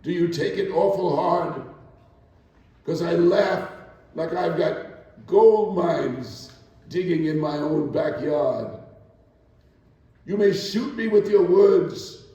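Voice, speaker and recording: An older man giving a theatrical reading to a live gathering, with deliberate, declamatory phrasing. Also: on the high side, warm tone, recorded from a distance, highs cut off. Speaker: actor performing a dramatic reading. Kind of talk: theatrical poetry recital and spoken presentation. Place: echoing indoor hall, live performance. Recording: very echoey hall, from afar.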